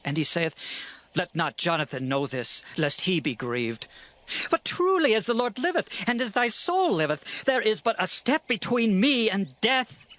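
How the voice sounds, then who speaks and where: A man's voice: high-pitched, sing-song, a little slow, fairly bright tone, heavily acted. A man playing a troubled, earnest young fugitive of ancient Israel in a dramatized scripture reading, with formal archaic phrasing. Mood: fearful insistence.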